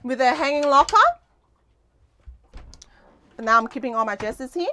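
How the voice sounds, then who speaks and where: A woman's voice: sits high, normal speed, bright, forceful tone. A young woman giving a casual guided tour as a host, speaking into a clip-on mic inside a small boat cabin. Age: young adult.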